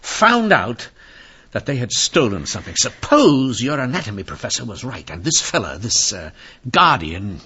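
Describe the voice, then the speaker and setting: An actor playing a brisk, dogged Victorian police inspector in an old-time radio mystery, deliberate and formally courteous. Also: male voice, medium pitch, very animated, sharp tone, husky, full character voice. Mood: brooding, wry. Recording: muffled radio drama recording.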